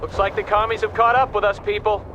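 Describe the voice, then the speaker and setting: An actor playing a gruff, resentful American army captain in a video game, brash and barking orders. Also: male voice, high voice, level tone, quick pace, dull audio, noisy background, natural voice. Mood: wary. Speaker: army captain, company commander.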